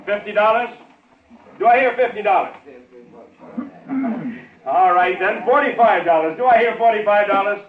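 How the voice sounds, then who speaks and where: An actor playing a weary, pleading auctioneer in a 1950s radio drama, projecting repetitive sales patter. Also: male voice, high-pitched, moderate pace, long pauses, close to an everyday voice. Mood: coaxing. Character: weary pleading auctioneer working a reluctant room. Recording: noisy echoey tinny old radio recording.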